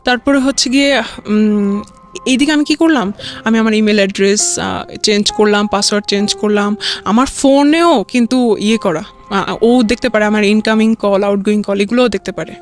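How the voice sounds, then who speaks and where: A young adult woman with a Bangladeshi accent tells a personal story as an interview guest, rambling and halting, often repeating filler phrases. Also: medium pitch, soft background music. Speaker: guest telling own life story.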